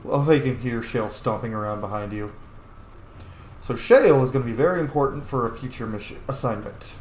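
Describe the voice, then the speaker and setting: A man's voice: medium pitch, fairly quick, a little mellow. Casual male gamer in an echoey room. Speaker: gamer playing a role-play series. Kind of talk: casual in-character game commentary. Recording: roomy echo, faint background noise.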